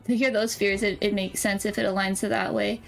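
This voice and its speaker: A woman's voice: medium pitch, little pitch movement, fairly quick, gravelly, faint music behind. A woman chatting casually and enthusiastically as a guest on a tarot stream, often giggly. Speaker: guest getting a tarot reading. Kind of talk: casual chat.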